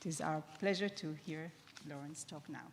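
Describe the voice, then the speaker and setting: A woman's voice: low voice, a little hiss or hum. Woman formally introducing a speaker. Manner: formal, measured. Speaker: summit moderator introducing speakers. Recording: long hall reverb.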